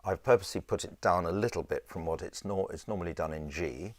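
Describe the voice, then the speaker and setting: A man with a low voice teaching a choir in an informal, rambling and halting conversational way. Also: no echo, clean studio sound. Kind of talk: informal instructional talk with sung demonstrations.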